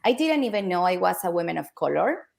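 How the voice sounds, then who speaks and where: A woman's voice: on the low side, big pitch swings, quick pace, vocal fry. A woman with a Mexican accent and native Spanish, reflecting personally as a guest in a panel discussion.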